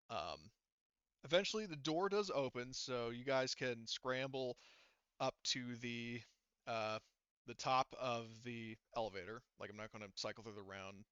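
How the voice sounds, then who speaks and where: A man with a clear, bright voice narrates a tabletop game as its game master. He feels his way through scenes informally, with many hesitations. The sound is close and dry but a little dull, like lo-fi voice chat. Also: medium pitch, no echo. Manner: halting, filler-heavy, informal.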